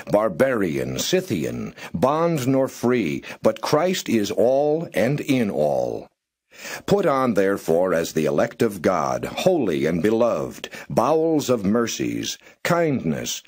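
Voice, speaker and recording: A man reading Bible verses aloud in a slow, measured way, pausing between phrases. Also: on the low side, darkish tone, slightly rough. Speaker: scripture reader.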